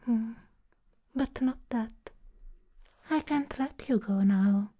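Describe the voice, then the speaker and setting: Young woman whispering asmr roleplay as a possessive goth doll, super slow, soft and dark, really breathy. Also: on the high side. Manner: slow, hushed, deliberate, with long pauses. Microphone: close microphone.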